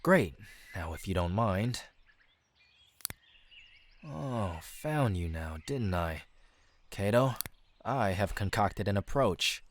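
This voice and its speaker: An actor playing a cocky young crusader scout who likes to improvise, in a medieval fantasy audio drama. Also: male voice, fairly low pitch, lively intonation, takes it slowly, pauses often. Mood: focused. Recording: loud background noise.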